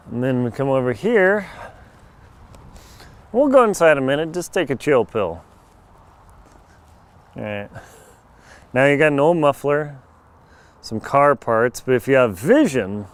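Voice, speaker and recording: A middle-aged man with a slightly hoarse but smooth, clear voice talks straight to a camera held at arm's length. He rambles in a wry, joking way outdoors. His pitch sits in the middle. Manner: chatty, wry, jokey asides. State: a bit hoarse. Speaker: travel vlogger. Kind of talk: casual handheld travel vlog. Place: outdoors on a rural farm lot. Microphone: handheld camera mic at arm's length.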